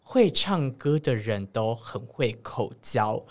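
Lively, teasing Taiwanese podcast host. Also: mid-range voice, takes it slowly. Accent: Taiwanese Mandarin. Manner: playful, teasing. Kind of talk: casual chat.

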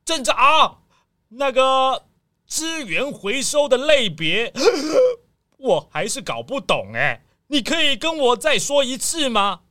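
A thrifty, slightly slow old villager talks in a high, bright, swooping voice. He drags out his words earnestly. The sound is close and dry. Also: big pitch swings, dry recording, exaggerated voice. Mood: confused. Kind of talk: children's radio drama acting.

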